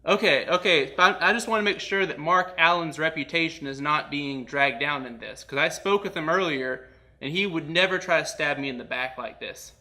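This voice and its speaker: A man's voice: a little high, brisk, bright, forceful tone. A man hosting a comedy show, talking in casual banter and prank-call style with a mock-earnest manner.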